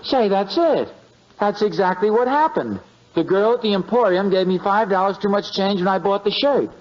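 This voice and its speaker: A man's voice: sits high, expressive, normal speed. An American man acting a bumbling, easily flustered suburban husband in a 1950s radio comedy, with a hesitant, stammering delivery. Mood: defensive, eager. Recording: muffled, noisy vintage radio recording.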